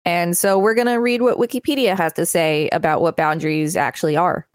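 A woman's voice: lowish voice, fairly even intonation, brisk, no room sound, studio quality. A woman co-hosting a podcast, reading reference text aloud with casual commentary. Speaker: podcast co-host.

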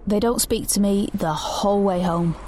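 An actress playing a defiant, protective teenage sister, blunt and sardonic, in an audio drama. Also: female voice, medium pitch, normal speed, mellow, lightly acted. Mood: sullen, resigned. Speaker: lead actor and narrator. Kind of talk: audio drama narration and dialogue. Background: background music.

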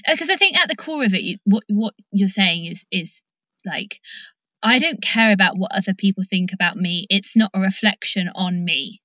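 Woman, British accent, bright crisp tone, slow pace, dry sound, informal interviewer, encouraging. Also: medium pitch, lively intonation, no echo, muffled recording. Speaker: podcast host interviewing. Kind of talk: casual interview chat.

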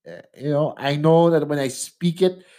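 A man's voice: a little high, moderate pace, warm tone. A young Filipino man, a native English speaker, hosting a solo podcast and speaking in a conversational way, often tagging questions onto the ends of his sentences. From Philippines. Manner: conversational, frequent tag questions, laughs mid-sentence. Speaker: podcast host. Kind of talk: solo opinion monologue.

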